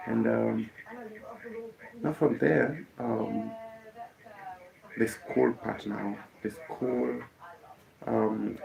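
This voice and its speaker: A young Kenyan man, a musician whose first language is Kikuyu, telling the story of his life in a halting way, with many fillers and restarts. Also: fairly low pitch, vocal fry, a little mellow, poor audio. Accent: Kenyan English.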